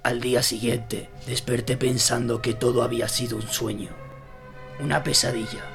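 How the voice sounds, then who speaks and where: An actor playing a haunted sea captain who tells his ghost story in a brooding, deliberate, suspenseful way for an audio drama. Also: medium pitch, level tone, gravelly, a bit bright. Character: haunted old sea captain recounting a ghost ship tale. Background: prominent music.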